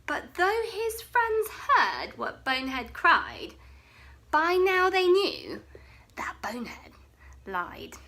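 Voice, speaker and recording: A young woman reading a bedtime story aloud, expressive and often dropping to a hushed whisper. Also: high voice, takes it slowly.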